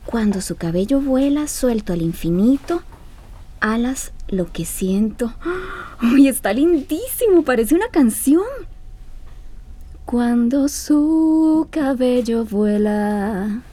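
Casting: loyal young Costa Rican girlfriend type, radio drama, high mellow voice, gushing and curious. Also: animated, slow pace. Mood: gentle, curious. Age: young adult. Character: warm, curious, admiring young woman, loyal friend.

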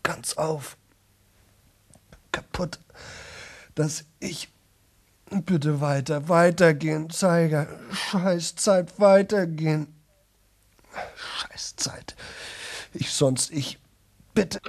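A worn-out, desperate young man in a radio drama. He talks very slowly, stumbling through broken phrases and repeating himself, with a strained, rough throat. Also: fairly high pitch, steady tone, exaggerated voice. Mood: pleading, broken. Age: young adult. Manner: halting, repetitive, broken fragments. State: exhausted, sore-throated. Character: exhausted, desperate young man attempting nonstop-talking world record. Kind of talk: 1970s German radio play monologue.

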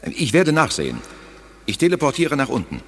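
A man playing a dutiful, alert teleporter on a mission in a German audio drama, his voice husky. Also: medium pitch, wildly swooping pitch, fairly quick, a bit bright. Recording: long cavernous reverb.

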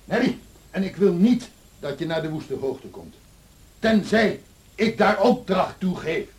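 An actor playing a brooding, obsessive middle-aged landlord in a radio drama, harsh and haunted, on a hissy old recording. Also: male voice, sits high, expressive, measured pace, darkish tone, breathy edge, full character voice. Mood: harsh, commanding.

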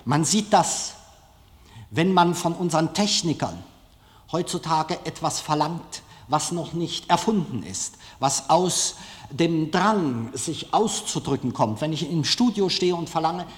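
A man giving a public lecture in a deliberate, halting way with dramatic pauses. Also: on the high side, lively intonation. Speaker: film director giving a lecture. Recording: echoey hall, long reverb.

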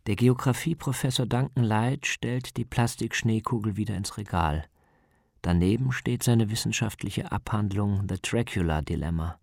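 A man's voice: fairly low pitch, moderate pace, creaky, darkish tone, no echo, studio quality. A man, narrating a scripted, atmospheric radio documentary as its reporter.

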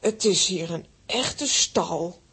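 A woman's voice: lowish voice, takes it slowly, fairly bright tone, breathy edge, heavily acted. A woman acting a fussy but fond middle-aged aunt in a children's audio drama. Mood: fond teasing.

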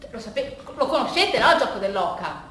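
An actress playing an agitated, rambling woman in a bittersweet theatre monologue, explaining in a halting, repetitive, animated way to her audience. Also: on the high side. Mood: flustered, playful. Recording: roomy, distant stage recording, faint noise.

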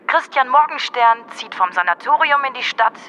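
A woman's voice: high voice, lively intonation, normal speed, pushed and bright, dull audio, slight background noise. A woman reading scripted chronicle items in a radio play.

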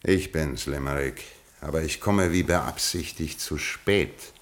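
A low-pitched gentleman gangster, a suave lord of old Scottish stock who has become a crime boss. He speaks with aristocratic understatement and dry irony, coolly menacing, in the manner of 1960s radio drama. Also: a little slow. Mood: dryly amused. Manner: aristocratic, dryly ironic, understated. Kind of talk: 1960s German radio play acting.

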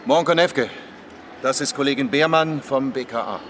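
A man's voice: mid-range voice, normal speed, noisy background, lightly acted. An actor playing a businesslike male police chief running a stakeout in a radio crime drama.

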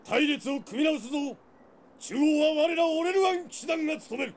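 An actor playing a composed, noble knight leader in a fantasy audio drama, with a dignified, measured manner. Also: male voice, extremely high pitch. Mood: commanding.

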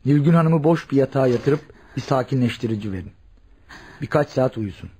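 An actor playing an authoritative senior doctor in a radio drama, speaking in a measured, instructive and probing way. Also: male voice, medium pitch, animated, warm tone, a little hiss or hum, lightly acted. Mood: calm, probing.

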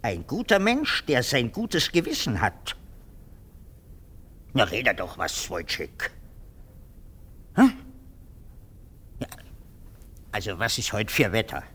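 A man's voice: medium pitch, sing-song, takes it slowly, pauses often, a bit bright, slightly rough, heavily acted. A man playing a pompous, anxious, condescending army captain in a theatrical, patronizing way in a 1950s radio drama. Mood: moralizing. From Frankfurt am Main, Germany. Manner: theatrical, patronizing, moralizing, swinging from murmur to squeal.

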